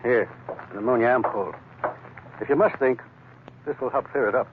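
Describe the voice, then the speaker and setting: An actor playing a cynical, crooked island doctor with an eloquent, sardonic, mock-genteel way of talking in 1940s radio style. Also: male voice, mid-range voice, a little fast, natural voice. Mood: wry. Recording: tinny, noisy vintage radio recording.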